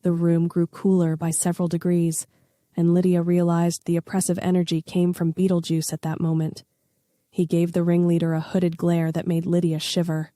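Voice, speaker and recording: A woman's voice: lowish voice, monotone, moderate pace, dark tone, dry recording, clean studio sound. A woman reading a scripted story aloud as its narrator.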